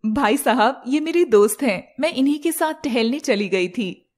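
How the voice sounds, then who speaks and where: A woman voicing a caring, protective young heroine in an animated fairy tale, over a noisy background. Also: sits high, normal speed. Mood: reassuring.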